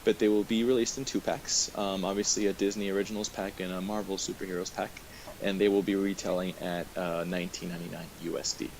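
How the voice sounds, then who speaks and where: A man hosting a webcast, running through news from his notes in a casual, halting way. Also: low-pitched, level tone, darkish tone. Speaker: webcast host. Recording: hissy, noisy online webcast audio.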